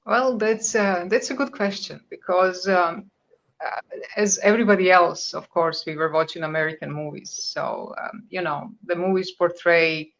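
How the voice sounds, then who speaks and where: A woman's voice: lowish voice, steady tone, normal speed, creaky, fairly bright tone. Croatian-born woman in her fifties, muffled. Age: 50s. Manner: conversational, searching for words, with hesitations. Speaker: guest. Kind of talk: radio interview.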